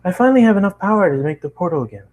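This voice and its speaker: A man's voice: high voice, expressive, racing, darkish tone, pitched above the performer's own voice. A man voicing an earnest female magical cartoon pony in a storybook reading, speaking eagerly.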